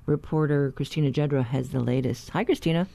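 A woman hosting a radio news interview in a conversational, halting and informal way. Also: low-pitched, vocal fry, dark tone. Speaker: radio host.

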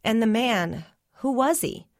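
A woman's voice: a little high, animated, unhurried, no room sound, studio quality, voice pushed up. A woman doing a timid, anxious child. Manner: timid, questioning. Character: anxious young child asking questions.

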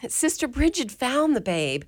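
A woman's voice: high-pitched, big pitch swings, a little slow, full character voice. Woman acting as nun in charge, mother superior, wry, lilting, motherly, radio play. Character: Irish mother superior of a convent orphanage, warm, wry.

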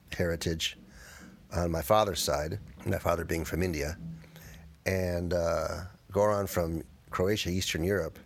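A man from the United States, a guitarist answering interview questions in a thoughtful, halting way. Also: deep voice. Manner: thoughtful, halting, with fillers and restarts. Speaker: guest guitarist.

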